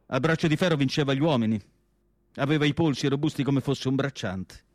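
A man playing a melancholy asylum doctor in radio theatre, narrating in an emphatic literary monologue with dramatic pauses. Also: medium pitch, dry recording, clean studio sound, lightly acted. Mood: grave, intense. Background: music.

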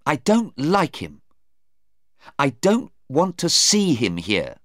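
A man's voice: on the high side, big pitch swings, extremely slow, no room sound, clean studio sound, pitched above the performer's own voice, exaggerated voice. A man voicing a distressed, defensive young woman in an audiobook, hesitant and pleading. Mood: upset, angry. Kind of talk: audiobook character voice.

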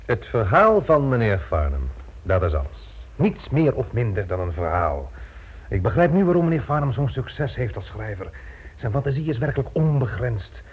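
Casting: smooth-mannered, self-satisfied operator, mid-pitched husky voice, sardonic; muffled narrow radio sound. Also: male voice, sing-song, normal speed. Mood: cool, sardonic. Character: smug, unruffled, sardonic man in control. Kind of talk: Dutch radio drama acting. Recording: muffled narrow-band old radio recording.

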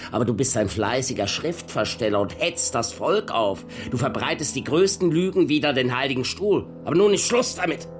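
An actor playing a menacing, sneering church envoy with pompous delivery in a radio play. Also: male voice, mid-range voice, lightly acted. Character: menacing, gloating male church envoy, haughty inquisitor. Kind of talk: German radio play acting.

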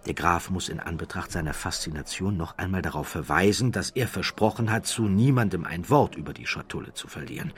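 A man narrating a scripted audiobook story in a measured way with dramatic emphasis. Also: low-pitched, slightly rough.

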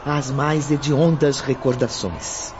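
A man's voice: mid-range voice, fairly even intonation, slow pace, background noise. A man giving solemn, scripted narration for a radio drama. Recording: dull lo-fi audio with music underneath.

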